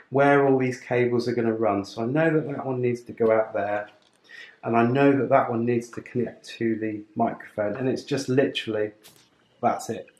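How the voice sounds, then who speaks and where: Man, roomy hands-on tutorial. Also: medium pitch. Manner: chatty, explains step by step while working with his hands. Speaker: presenter of a motorcycle gear channel. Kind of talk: informal hands-on tutorial narration. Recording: roomy indoor sound, clear background noise, clicks.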